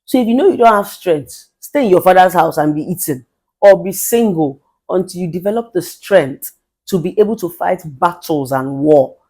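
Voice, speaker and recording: Forceful Nigerian woman giving marriage advice. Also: lowish voice, lively intonation, normal speed, breathy edge. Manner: forceful, emphatic, mixes in Pidgin phrases. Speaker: relationship therapist. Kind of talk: motivational advice monologue.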